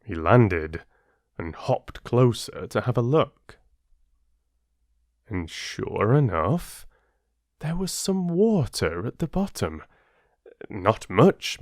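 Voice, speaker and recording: A man with a lowish voice and a very unhurried pace, speaking as a storybook narrator and host. He is playful and expressive, and the sound is dry and close. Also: dry recording. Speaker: audiobook narrator and channel host. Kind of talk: storybook narration and casual chat to listeners.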